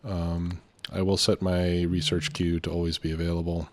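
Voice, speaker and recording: A man's voice: sits low, level tone, moderate pace, a little mellow, no room sound. A man with a slightly congested voice from a lingering cold, hosting a casual let's play and thinking aloud hesitantly. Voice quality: slightly stuffy, congested nose. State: getting over a cold. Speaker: gaming video host.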